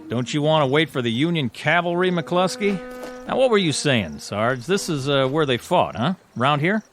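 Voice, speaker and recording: Restless skeptical corporal, radio drama, sarcastic, slangy, higher-pitched male voice, music underneath. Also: big pitch swings, normal speed, fairly bright tone. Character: cynical, wisecracking army corporal, a restless skeptic.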